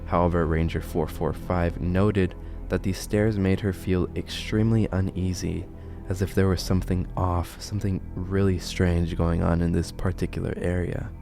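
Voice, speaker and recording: A man's voice: sits low, flat delivery, moderate pace, creaky, warm tone, soft background music. A man narrating and commenting on horror videos as an online host.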